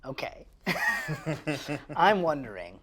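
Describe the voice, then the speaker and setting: A man playing a tabletop game, chatting casually and thinking aloud in a hesitant, halting way. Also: a little high, huge pitch swings, sharp tone, slight background noise.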